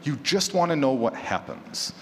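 A man's voice: on the high side, sing-song, normal speed, a bit bright. A man speaking informally on a conference panel about his craft, conversational, posing rhetorical questions and voicing imagined quotes. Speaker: panelist, fiction podcaster. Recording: reverberant hall, clear background noise.

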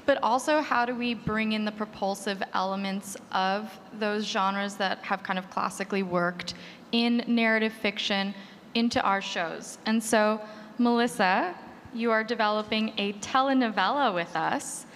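A woman's voice: medium pitch, a little slow, a bit bright. A woman moderating a panel, introducing topics and putting questions to the panelists. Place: large reverberant hall at a live panel. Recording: echoey hall, background noise.

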